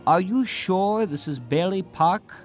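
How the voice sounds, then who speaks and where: An actor in a radio drama playing a kindly, anxious, bumbling guardian angel with an earnest, moralizing manner. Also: male voice, sits high, expressive, a little slow, soft background music. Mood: earnest, grave.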